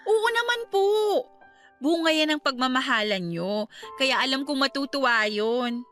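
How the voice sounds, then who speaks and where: A woman playing a caring, excitable young niece, polite and emphatic, in a radio drama. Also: sits high, unhurried, soft background music. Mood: earnest. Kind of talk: Tagalog radio drama acting.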